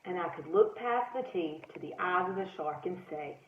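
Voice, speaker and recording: A woman's voice: animated, normal speed, a little hiss or hum, lightly acted. A woman voicing a once-terrified, plucky male bookmark in a theatrical, dramatic picture-book read-aloud. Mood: calming, determined. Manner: theatrical, rising from hushed suspense to high cries. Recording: roomy echo, slightly dull lo-fi sound.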